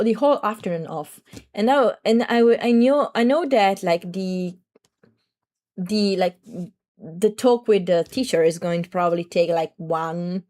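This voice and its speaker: A young adult woman streamer with a slightly raspy throat, chatting to viewers in a rambling, talkative way. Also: medium pitch, a little mellow. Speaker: VTuber streamer. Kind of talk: casual livestream chat.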